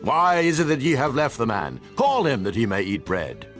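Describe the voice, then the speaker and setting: A man's voice: mid-range voice, wildly swooping pitch, moderate pace, fairly bright tone, faint music behind. A man playing a questioning father and priest in dramatized scripture audio.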